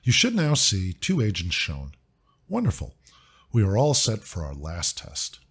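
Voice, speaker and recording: Man, software tutorial narrator, husky, animated delivery, scripted walkthrough. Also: lowish voice, unhurried. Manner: clear, instructive, upbeat step-by-step. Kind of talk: scripted screen-recording walkthrough.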